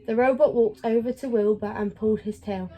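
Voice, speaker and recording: A woman with a fairly high, soft-edged mellow voice reads a children's picture book aloud. Her delivery is expressive, in a storytelling style for young listeners. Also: a little fast. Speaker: storybook reader.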